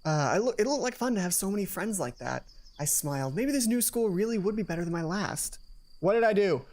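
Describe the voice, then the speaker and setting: A woman reading a visual novel aloud for a let's play, expressive, with casual asides of her own. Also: mid-range voice, brisk. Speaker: let's player.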